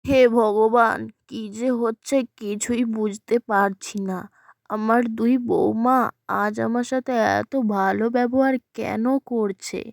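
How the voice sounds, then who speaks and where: A woman's voice: fairly high pitch, steady tone, slow, gravelly, no echo. Woman voicing a plaintive storybook mother-in-law. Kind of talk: cartoon storybook voice acting.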